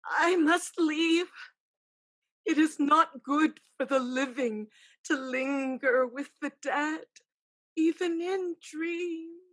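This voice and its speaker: A woman playing an eerie ancestral spirit who is secretly a scheming sorceress, calling in a drawn-out, incantatory way in a staged reading. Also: sits high, a bit bright. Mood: urgent, calling.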